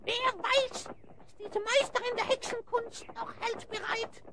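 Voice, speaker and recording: An actor voicing a suave hyena spy who leads thieves in an audio drama, speaking floridly and pompously. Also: male voice, very high voice, steady tone, measured pace, creaky, pushed and bright, exaggerated voice. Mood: smug, pompous. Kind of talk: German audio drama acting.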